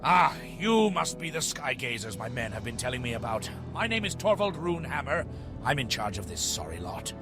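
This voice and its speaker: A man voicing a gruff, world-weary male commander of an ancient stone people, over loud music. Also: medium pitch, big pitch swings, normal speed, natural voice.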